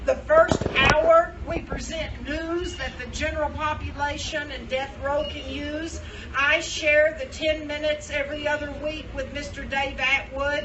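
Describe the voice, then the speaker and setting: A woman informally announcing at a live event, speaking plainly with a halting delivery. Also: sits high. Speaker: event presenter, radio prison show contributor. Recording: distant mic, clearly noisy room.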